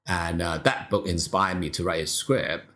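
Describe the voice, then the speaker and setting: A man's voice: low-pitched, fairly even intonation, moderate pace, bright, forceful tone. A middle-aged man from Hong Kong whose first language is Cantonese, a film director talking casually in an interview, thoughtful with many fillers and restarts.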